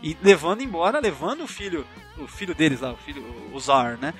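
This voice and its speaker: A man's voice: fairly high pitch, big pitch swings, a little fast. A Brazilian man hosting a casual book discussion, chatty, informal and enthusiastic. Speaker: podcast host. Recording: background music under voice. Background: music.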